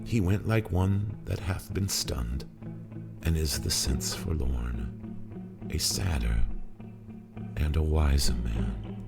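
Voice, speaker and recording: A man giving a theatrical, solemn and deliberate dramatic recitation of a poem, with music. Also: very deep voice, creaky. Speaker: poetry reader. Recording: music played behind the voice.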